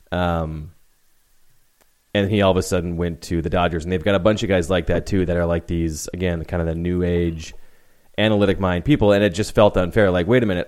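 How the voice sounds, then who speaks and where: Deep, clear-voiced male sports-pod co-host who explains at length and hedges. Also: darkish tone. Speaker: podcast co-host. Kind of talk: casual sports chat.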